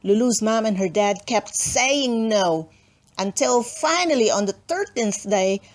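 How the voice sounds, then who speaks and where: A woman's voice: on the high side, expressive, measured pace. A woman narrating a children's storybook expressively, with dramatic emphasis and playful asides. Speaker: storybook reader.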